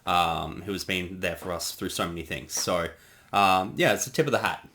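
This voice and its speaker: Man, low-pitched, casual commentary, rambling, lots of ums and restarts. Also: normal speed, bright, forceful tone. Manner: rambling, many fillers and restarts. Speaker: co-host of a creator commentary.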